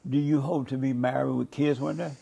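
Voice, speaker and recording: A man's voice: medium pitch, fairly even intonation, fairly quick, creaky, mellow, husky, muffled recording. An older man hosting a talk show, asking blunt and provocative interview questions.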